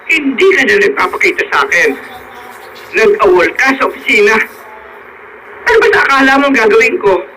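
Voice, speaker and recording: Brief: aggrieved Filipino accomplice in radio drama, very high sharp voice, emphatic delivery. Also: male voice, expressive, a little fast, pauses often. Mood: bitter, demanding. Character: aggrieved accomplice demanding a share, then confessing. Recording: noisy radio drama broadcast.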